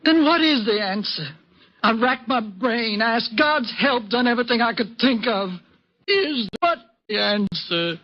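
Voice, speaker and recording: High-voiced, anguished frontier woman. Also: animated, measured pace. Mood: desperate pleading. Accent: rural American. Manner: grave, plain-spoken, intense. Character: stern, anguished older frontier woman, grimly resolute. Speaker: actress. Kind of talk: old-time western radio drama acting. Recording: dull vintage radio recording.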